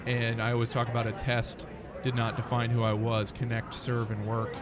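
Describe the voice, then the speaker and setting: A man's voice: medium pitch, completely flat delivery, normal speed, over loud music. An American man speaking conversationally as a startup founder being interviewed. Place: busy conference venue near an expo hall. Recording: busy crowd chatter behind the voice.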